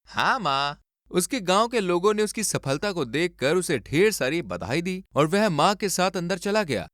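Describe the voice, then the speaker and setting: Animated male storyteller, highish voice. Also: normal speed, dry recording, studio quality. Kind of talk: scripted moral story narration.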